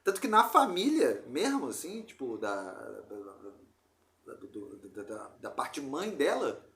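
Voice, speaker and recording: Casting: male podcast host, Rio de Janeiro accent, slightly high voice, slangy rambling storyteller, roomy echoey sound. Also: sing-song, a little slow, a bit bright. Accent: Brazilian Portuguese, Rio de Janeiro. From Brazil. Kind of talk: casual chat, telling family anecdotes. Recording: roomy echo.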